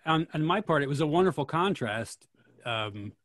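A man hosting a casual discussion on a video call, talking in a thoughtful, halting way. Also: on the high side, lively intonation, fairly bright tone. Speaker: host of a play-reading discussion.

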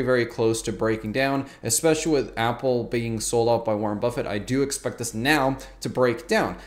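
A man in his thirties giving emphatic, colloquial market commentary to camera as a YouTube host, often repeating words for stress. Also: medium pitch.

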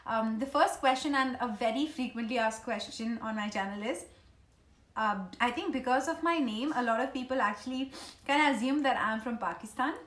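A woman's voice: on the high side, moderate pace, fairly bright tone. A woman in her thirties with an Indian accent, chatting informally and ramblingly to camera as a vlogger in her living room. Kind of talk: casual chit-chat to camera. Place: small living room at home, indoors. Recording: roomy home living room echo.

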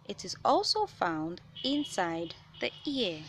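A woman reading a children's science picture book aloud, with measured, clear phrasing for young listeners. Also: medium pitch, big pitch swings, a bit bright. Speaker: children's read-aloud host. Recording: noticeable background noise.

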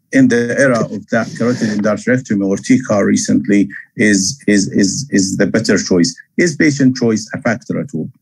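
Male webinar panelist, mid-pitched and husky, courteous, measured questioning. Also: no room sound, rough recording.

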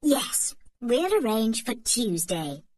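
A woman playing a gentle, kindly mother. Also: fairly high pitch, very animated, takes it slowly, exaggerated voice. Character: kindly calm mother of three.

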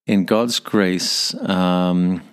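A man's voice: low-pitched, fairly even intonation, extremely slow, clear, resonant tone. An older man from Northern Ireland with a blended Irish and international accent, telling stories as a podcast guest in a chatty, humorous way. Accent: Northern Irish, mixed with an international accent. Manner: chatty and humorous, repeats words as he starts a thought. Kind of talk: conversational storytelling.

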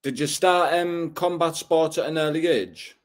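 A man's voice: on the high side, unhurried. A man in his thirties hosting a casual interview over a video call from an indoor room, asking questions in a friendly, easygoing way. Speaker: interviewer host.

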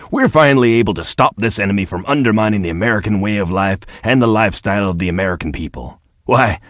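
Pompous, lowish-voiced army general. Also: male voice, expressive, fast talker, a bit bright, no echo, clean studio sound. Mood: boastful. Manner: pompous, boastful. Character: proud, boastful army general as news guest. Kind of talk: parody news interview.

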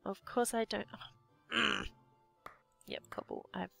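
Croaky Australian woman muttering over gameplay. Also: mid-range voice, big pitch swings, a little slow, a bit bright, soft background music. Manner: muttering to herself, often half-whispered. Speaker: let's play gamer. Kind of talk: casual gameplay commentary.

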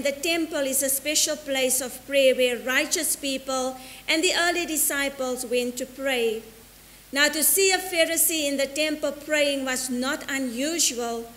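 A middle-aged woman priest preaches from the altar in a high, clear voice, measured and deliberate, slowing for each quotation. Her words ring out into the long reverb of a church sanctuary. A faint hiss sits under the recording. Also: fairly bright tone. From South Africa. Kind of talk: sermon. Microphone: stand mic at the altar, filmed by a fixed camera.

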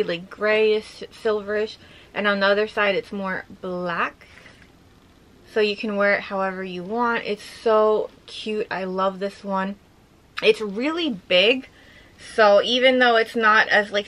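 A young woman chatting casually as she shows off items from her collection, gushing with lots of filler words and a slightly hoarse voice. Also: mid-range voice, a bit bright, low-quality recording. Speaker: vlogger showing her collection. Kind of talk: casual show-and-tell chat.

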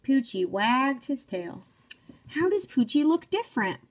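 A woman's voice: high voice, very animated, measured pace. A young woman reading a picture book aloud to children like a teacher, encouraging and speaking in simple phrases. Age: young adult.

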